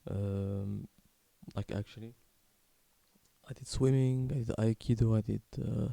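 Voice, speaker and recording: Very dark, mellow voice of a young man. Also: fairly low pitch, fairly even intonation, vocal fry, no room sound. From Morocco. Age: 20s. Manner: halting, candid, casually sweary. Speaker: video creator telling his life story. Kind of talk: unscripted personal storytelling to camera.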